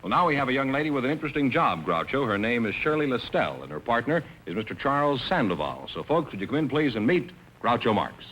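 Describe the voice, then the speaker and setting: A man's voice: medium pitch, very animated, fast talker, few pauses, a bit bright, slight background noise. Emphatic man announcing a 1950s show. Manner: smooth, emphatic salesman delivery. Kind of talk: scripted commercial and show announcing. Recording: muffled, lo-fi 1950s broadcast audio.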